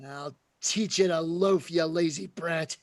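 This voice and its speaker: A man playing an angry, grief-stricken drunken druggist with a gravelly voice in an old-time radio drama. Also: a little high, takes it slowly, no room sound, clean studio sound. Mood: angry, bullying. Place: remote video call recording.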